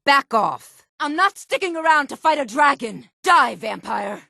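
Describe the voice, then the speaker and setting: A woman's voice: sits high, very animated, measured pace, vocal fry, pushed and bright, dry recording, clean studio sound, full character voice. Sultry, haughty fantasy game townswoman. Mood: fierce, taunting. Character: sultry adult fantasy townswoman, haughty, flirtatious, quick to threaten. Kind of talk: video game voice lines, fantasy dialogue and combat barks.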